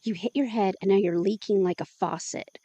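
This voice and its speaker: A woman's voice: mid-range voice, a little fast, warm tone, no room sound, muffled recording, close to an everyday voice. An actress playing a jittery young woman vampire, anxious and exclamatory in an audio drama. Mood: earnest.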